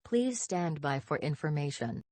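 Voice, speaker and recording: A synthetic woman's voice reading scripted emergency broadcast announcements in a stiff, impersonal, measured way. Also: sits low, very animated, a little mellow, no room sound.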